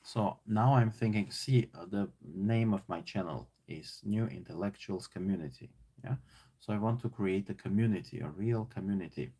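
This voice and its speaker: A man with an Armenian accent talks through a leadership workbook, musing aloud with plenty of fillers and restarts. His voice sits on the low side and carries a gravelly, slightly husky grain. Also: moderate pace, mellow. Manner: thinking aloud, many fillers and repeats. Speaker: teacher working through a leadership book. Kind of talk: casual self-assessment talk.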